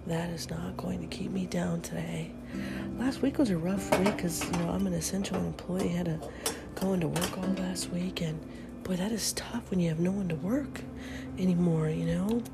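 A woman's voice: on the low side, moderate pace, creaky, loud music behind the voice. A middle-aged woman hosting a podcast in a casual, upbeat morning chat, often half-whispering, with a stuffy nose from a head cold. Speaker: podcast host.

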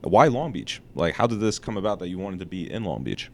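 Low voiced podcast host guy, upbeat and encouraging. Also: very animated, a little fast, a little hiss or hum. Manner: affirming, encouraging, lots of "like". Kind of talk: interview hosting.

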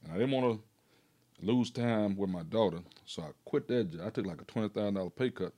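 Retired coach type, 60s, low voice, rapid rambling storyteller. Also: male voice. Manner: animated, rambling, laughs often. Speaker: retired PE teacher and guest. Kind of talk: casual storytelling conversation.

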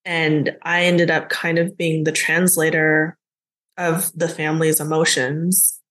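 A woman's voice: deep voice, flat delivery, measured pace, fairly bright tone. A woman from the United States talking as a guest, reflective and casual, with many "like" fillers. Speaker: business mentor, guest. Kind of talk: casual conversation.

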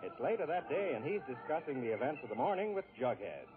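A man's voice: mid-range voice, sing-song, quick pace. A man with an American accent announcing and narrating from a script in 1940s radio style. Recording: tinny 1940s radio broadcast recording. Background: music.

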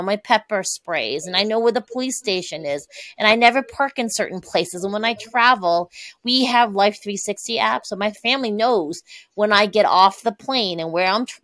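A middle-aged woman from Taiwan, speaking as a podcast guest in an earnest, candid way with lively emphasis. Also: medium pitch, no room sound. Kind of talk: conversational interview.

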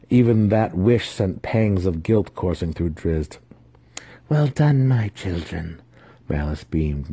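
A man narrates a fantasy audiobook in a dramatic storytelling style. His voice is deep and dark, with a husky edge. The reading is scripted and theatrical. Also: no room sound.

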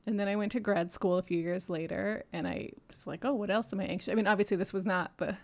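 Gravelly-voiced woman in her thirties, earnest. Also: medium pitch, fairly even intonation, fast talker, darkish tone. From Japan and New York City. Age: 30s. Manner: earnest, reflective, laughs often between thoughts. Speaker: podcast guest. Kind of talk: candid personal storytelling.

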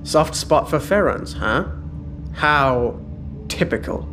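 An actor playing a smug, controlling spymaster in a sci-fi audio drama, mock-polite and condescending. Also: male voice, on the high side, animated, slow pace. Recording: clear background noise, ambience.

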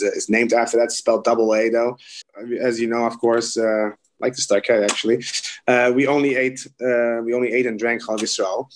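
A man in his forties from the Netherlands with a Dutch accent, a rabbi talking casually in an interview, often repeating words and restarting. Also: medium pitch. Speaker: kosher supervision rabbi.

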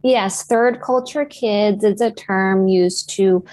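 A woman's voice: medium pitch, takes it slowly, a little mellow. A woman in her thirties from Japan, with Japanese as her first language, answering interview questions thoughtfully as an actor, with frequent "you know" fillers. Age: 30s. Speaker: actor and guest.

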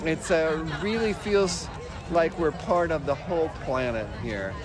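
A man, a local musician, talking with warm enthusiasm outdoors at a parade. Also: fairly high pitch, very animated, measured pace, crowd noise. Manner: enthusiastic, expansive. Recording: music playing behind.

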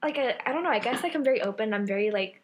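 A young woman co-hosting a podcast in casual chat, with a loud voice that projects and an energetic way of talking. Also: a little high, rapid-fire, soft background music. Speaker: podcast co-host.

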